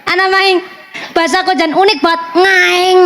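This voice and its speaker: A woman preacher with a Banyumasan Javanese accent and a high, bright voice delivers a lively sermon at a gathering, full of jokes. She mixes Javanese with Indonesian as she talks. A faint hiss of background noise sits under the voice. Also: moderate pace. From Central Java. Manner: animated and joking, switching between Javanese and Indonesian. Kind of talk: religious sermon.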